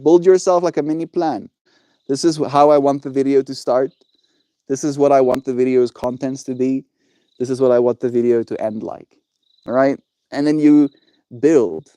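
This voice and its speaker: Young guy streamer, chill and encouraging, warm low-key voice, dry close sound. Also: steady tone, moderate pace, no echo. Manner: laid-back, encouraging, says "bro" a lot. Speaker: livestreamer. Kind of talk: casual livestream chat with viewers.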